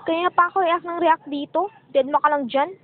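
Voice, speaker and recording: A woman's voice: very high voice, steady tone, moderate pace. A woman playing a gossipy, teasing young café worker with a Filipino accent, sassy and theatrical, in an amateur romance radio drama. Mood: teasing, dramatic. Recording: tinny phone-like band, faint background noise.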